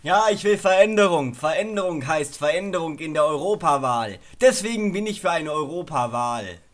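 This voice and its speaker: A man's voice: a little high, lively intonation, normal speed. A man performing improvised comic talk for a radio parody.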